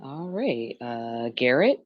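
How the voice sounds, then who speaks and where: A low-voiced woman hosts a group dating show, moving briskly from guest to guest. Her voice sounds close and dry, with slightly dull sound. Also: sing-song, no room sound. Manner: brisk, repeats short approvals. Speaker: host.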